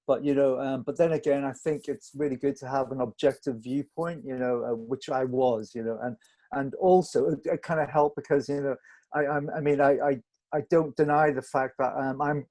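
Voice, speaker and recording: A man answering as a director in a Q&A, halting and searching for his words in a self-effacing, conversational way. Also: medium pitch, fairly even intonation, warm tone. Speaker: film director interviewed on a panel. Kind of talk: conversational Q&A explanation.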